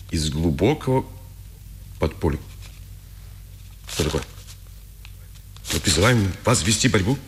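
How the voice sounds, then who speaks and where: Male Nazi secret-police officer: slow, swinging delivery, mocking and smug, 1950s radio drama. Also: lowish voice, fairly bright tone, exaggerated voice. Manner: theatrical, taunting, commanding. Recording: 1950s radio recording, faint noise.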